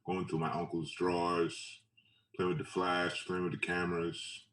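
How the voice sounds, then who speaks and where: A man from Trinidad and Tobago with a low voice shares memories in a casual interview. He speaks haltingly and loosely, circling back over phrases. The sound is echoey, with the microphone at some distance. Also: level tone, fairly bright tone. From Trinidad and Tobago, later Brooklyn. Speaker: photographer being interviewed. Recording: roomy echo, distant mic.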